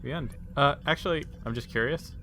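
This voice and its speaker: A man's voice: mid-range voice, brisk, pushed and bright, soft background music. A man talking casually as a player in a tabletop role-playing game.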